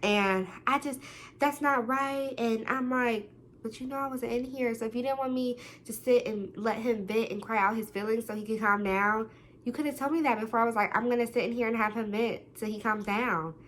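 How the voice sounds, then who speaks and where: A young woman telling a story to the camera, talking loudly and acting out what people said. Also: fairly high pitch, moderate pace, a little hiss or hum. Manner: loud, animated, quotes people in different voices. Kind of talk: casual storytime monologue.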